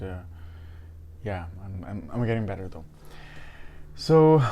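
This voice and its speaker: Slightly hoarse man, fairly low voice. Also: mellow. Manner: hesitant, halting, often repeating words. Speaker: fragrance reviewer and host. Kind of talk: casual unscripted review to camera.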